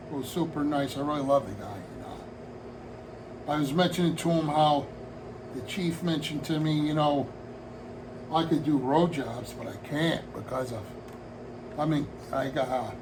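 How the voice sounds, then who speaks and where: A man in his early sixties, his voice pitched a little high, talks to viewers in a loose, wandering way, circling back and restarting his thoughts as he goes. He is in a room at home, and a steady air conditioner hum sits clearly under his voice. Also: measured pace, long pauses. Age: 60s. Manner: meandering, heartfelt, with frequent restarts and trailing asides. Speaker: hobby vlogger. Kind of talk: rambling casual talk to camera.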